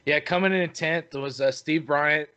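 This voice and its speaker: A man's voice: fairly high pitch, a little slow, clean tone, dull audio. A man casually reading out fishing tournament results on a podcast, with lots of fillers. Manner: relaxed, stumbling over names with fillers. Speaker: podcast host.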